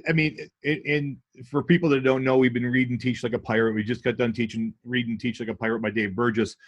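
A man's voice: medium pitch, fairly even intonation, quick pace, lo-fi sound. A man who hosts a school podcast and used to be a principal, asking loose, rambling questions in an upbeat, enthusiastic way. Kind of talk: casual podcast interview.

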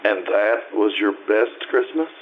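An actor playing a kindly, curious man who asks gentle questions in old-time radio drama. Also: lowish voice, animated, measured pace, a little hiss or hum. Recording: tinny narrow-band radio show, loud music.

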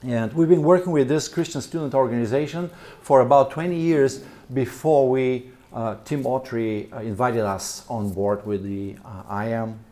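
Hesitant Bulgarian man with a mellow voice. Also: medium pitch, moderate pace. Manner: hesitant, many fillers and repeated words. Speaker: missionary and church planter speaking at a seminar. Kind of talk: seminar presentation. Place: seminar room with an audience.

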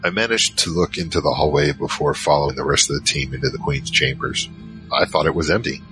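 A man playing a naive young man recounting his adventures in an informal, halting way in an audio drama. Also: extremely low pitch, fairly even intonation, music in the background, poor audio. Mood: recounting, casual. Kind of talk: audio drama first-person narration.

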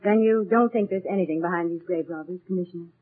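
An actress playing a sleuth's soothing, reasonable lady companion in a 1940s radio drama, gentle and polite. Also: on the low side, quick pace, lightly acted. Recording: muffled lo-fi 1940s radio recording.

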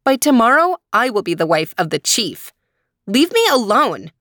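Woman playing a haughty, scoffing young woman, pitched up. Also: big pitch swings, slow, fairly bright tone, dry recording. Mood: haughty, annoyed. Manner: scoffing, dismissive. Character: haughty, rude young elder daughter, scornful and proud.

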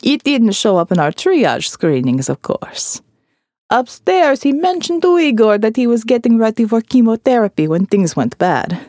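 Woman playing a creepy, detached male doctor, mellow-toned. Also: a little high, sing-song, normal speed, dry recording, clean studio sound. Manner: clinical, matter-of-fact, detached. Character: creepy, blunt middle-aged male doctor, socially awkward. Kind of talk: audiobook character dialogue.

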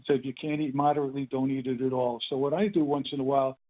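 A man's voice: mid-range voice, steady tone. Older man in his eighties, rambling phone-in testimony, tinny line audio. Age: 80s. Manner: rambling, halting, with many fillers and restarts. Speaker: meeting speaker sharing his story. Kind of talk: casual spoken testimony.

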